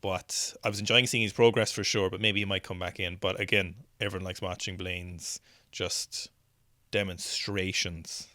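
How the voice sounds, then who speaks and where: Male host, low-ish voice, casual chatty commentary, thinks aloud, dry close-miked sound. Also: little pitch movement, normal speed, pushed and bright, no room sound. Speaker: news show host. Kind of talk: casual video commentary on weightlifting clips.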